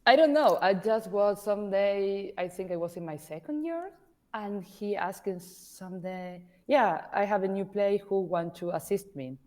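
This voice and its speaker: A woman's voice: mid-range voice, animated, moderate pace, dark tone. A woman from Argentina, whose first language is Spanish, speaking hesitantly as a podcast guest. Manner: hesitant, searching for words in English. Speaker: podcast guest, artist manager. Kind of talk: conversational interview.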